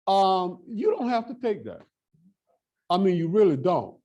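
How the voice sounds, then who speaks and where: A man's voice: high voice, sing-song, unhurried, warm tone. A man presenting at a fair housing workshop, giving direct, emphatic advice to staff and repeating his key points. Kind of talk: training talk to housing staff.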